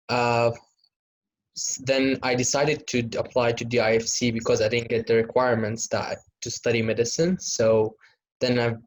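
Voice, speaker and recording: Young guy from syria on a video call, pretty monotone, lots of uh and restarts. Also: medium pitch, a little hiss or hum, rough recording. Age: young adult. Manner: hesitant, restarts often. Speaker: student guest. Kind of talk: casual chat.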